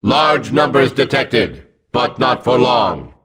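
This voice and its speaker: A man voicing a hulking combat robot in a video game, gruff and mechanical, giving clipped, vengeful commands. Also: medium pitch, sing-song, slow pace, fairly bright tone, full character voice. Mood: cold, commanding.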